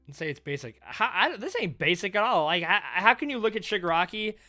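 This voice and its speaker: A man live-streaming a casual, excitable reaction read-through, swearing freely as he chats with viewers. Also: sits high, brisk, faint music behind. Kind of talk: casual livestream reaction chat while reading manga.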